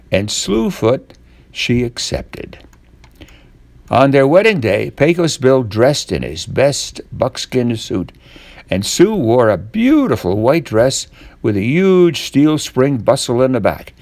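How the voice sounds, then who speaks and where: An older man reads a tall tale as a bedtime story, expressive and deliberate, drawing out key words. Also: mid-range voice, gravelly, dry recording. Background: guitar music.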